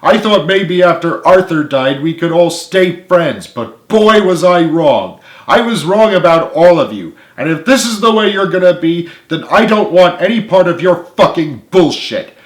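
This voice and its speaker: A man voicing a hot-headed, embittered young male team leader in an emphatic shouted tirade for a story. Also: sits high, lively intonation, normal speed, hardly any pauses, voice pushed up. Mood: furious, hurt. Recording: echoey room.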